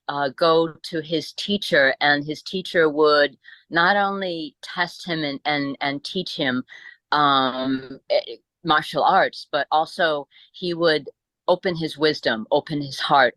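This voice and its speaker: Bright-voiced American woman, halting and reflective. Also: deep voice, fairly even intonation, no echo. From born in Italy, grew up in the United States. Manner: halting, searching for words. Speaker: interview guest, Buddhist teacher. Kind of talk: reflective conversational interview.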